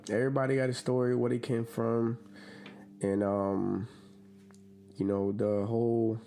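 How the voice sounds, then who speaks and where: A man's voice: mid-range voice, little pitch movement, measured pace, dark tone, a little hiss or hum. A man from the Dominican Republic, a podcast guest talking in a laid-back, slangy way with many fillers. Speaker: podcast guest, clothing brand owner. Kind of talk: casual interview chat.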